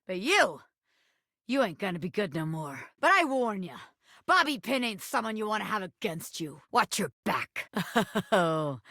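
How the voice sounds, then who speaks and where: A brash little bobby pin with big showbiz dreams and a crook's swagger for a video game. The voice is bright and punchy, leaping around in pitch, recorded very dry and close. The talk is slangy, streetwise and theatrical, full of cocky tough-guy bluster. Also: no echo, heavily acted. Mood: menacing, hurt. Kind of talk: video game character voice acting.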